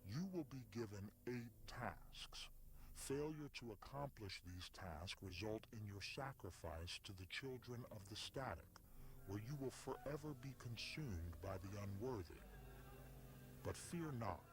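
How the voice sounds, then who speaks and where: Casting: male actor as ominous cult herald, low register, formal and deliberate, quietly menacing horror delivery. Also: lively intonation. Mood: solemn menace. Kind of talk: scripted horror narration, formal recruitment message.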